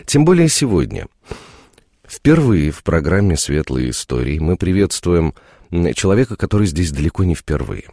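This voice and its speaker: Man, extremely deep voice, nasal with a cold, radio host, theatrical phrasing, dry studio sound. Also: sing-song, moderate pace, warm tone, dry recording. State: sick with a cold. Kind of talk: unhurried radio presenting and studio chat. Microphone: studio microphone.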